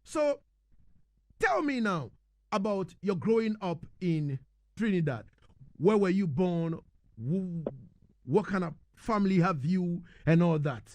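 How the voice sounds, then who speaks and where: A man's voice: high voice, expressive, slow pace, pauses often, a little mellow. A man hosting a radio interview, asking questions and offering brief encouraging replies. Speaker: radio host.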